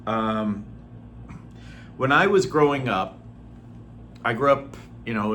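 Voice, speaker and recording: A middle-aged man from Norway, born Norwegian-speaking, talking in an earnest, unhurried way with frequent ums and throat clearing in a personal vlog. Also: medium pitch, a bit bright, slight background noise. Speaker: vlogger. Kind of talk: casual personal vlog talk.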